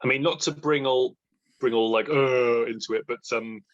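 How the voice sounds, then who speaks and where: A man's voice: medium pitch, fairly even intonation, normal speed. Man in his thirties, slightly dull audio. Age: 30s. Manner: enthusiastic, jokey, self-deprecating. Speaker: book vlogger and readalong co-host. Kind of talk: casual unscripted book chat.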